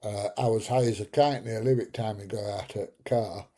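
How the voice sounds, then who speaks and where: A man's voice: on the low side, steady tone, fairly quick, warm tone. A middle-aged man hosting a casual live chat, rambling through jokey stories and chuckling at his own tales. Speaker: livestream host. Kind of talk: casual live chat reading viewer comments.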